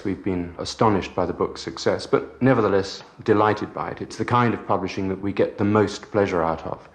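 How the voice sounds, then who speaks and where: A British man, a book publisher giving a measured, articulate and formal interview answer. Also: deep voice.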